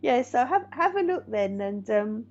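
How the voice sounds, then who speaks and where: A middle-aged woman, a meditation teacher and medium, chatting gently and reassuringly to viewers with many fillers. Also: on the high side, big pitch swings, normal speed. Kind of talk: casual live-stream chat reading viewer comments. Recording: dull lo-fi stream audio.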